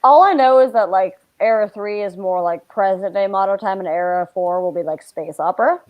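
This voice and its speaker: A woman in her thirties with a mid-pitched voice talks on a video call from home. She explains casually, thinking aloud as she goes. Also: a little fast, muffled recording. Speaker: book discussion guest. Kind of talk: casual chat.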